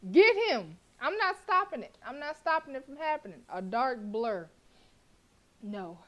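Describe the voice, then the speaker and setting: A woman live-streaming a horror visual novel, narrating its lines with expressive feeling. She breaks off to react, laugh and curse at what happens on screen. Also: high voice, a little slow.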